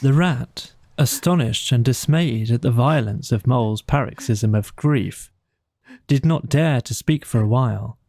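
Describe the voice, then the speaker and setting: Slow, mellow male bedtime storyteller. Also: medium pitch, no echo. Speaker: audiobook narrator. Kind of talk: cosy bedtime scripted story narration.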